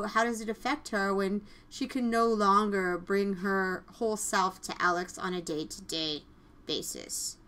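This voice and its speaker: Bright-voiced woman hosting a casual podcast. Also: medium pitch. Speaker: podcast host. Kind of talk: casual TV review discussion.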